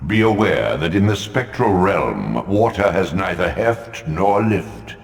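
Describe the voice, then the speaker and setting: An actor playing an ancient godlike spirit mentor in a video game, with a deep, gravelly voice and slow, grave, oracular delivery. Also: male voice, lively intonation, exaggerated voice. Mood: instructive, grave. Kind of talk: dramatic video game voice acting. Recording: long cavernous reverb with music.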